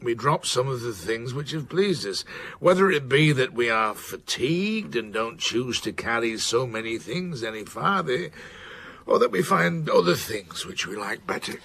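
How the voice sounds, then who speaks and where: Husky, weighty old man of letters. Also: mid-range voice, very animated, a bit bright, heavily acted. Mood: ponderous, reflective. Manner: measured, emphatic, formal pronouncements. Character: elderly, weighty, opinionated English man of letters. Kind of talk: period radio drama acting.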